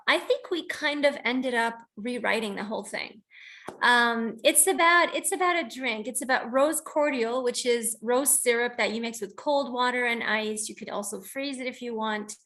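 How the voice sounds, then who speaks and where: A woman's voice: a little high, sharp tone. A woman from the United States hosting an online poetry reading, introducing and reading her own work in an informal, reflective, explanatory way. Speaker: host of a poetry reading and poet. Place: online video call.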